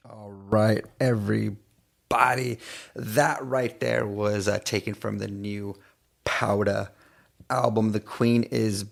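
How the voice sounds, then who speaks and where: A man from East Los Angeles with a gravelly voice, chatting casually to listeners as a radio DJ and show host, repeating phrases as he goes. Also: fairly low pitch, little pitch movement, slow. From East Los Angeles, California. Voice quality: gravelly, creaky voice. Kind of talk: casual radio DJ chat between songs.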